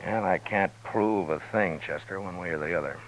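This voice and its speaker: An actor playing a steady, firm frontier marshal who speaks in a low, measured, understated way in a 1950s radio drama. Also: male voice, noisy background, lightly acted. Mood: grim. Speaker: lead and narrator. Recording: lo-fi old radio broadcast with music.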